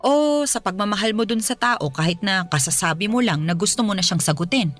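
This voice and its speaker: A woman's voice: mid-range voice, animated, brisk, fairly bright tone, soft background music. An actress playing a caring, perceptive middle-aged mother in a radio drama, coaxing and firmly reassuring. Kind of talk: Filipino radio drama acting.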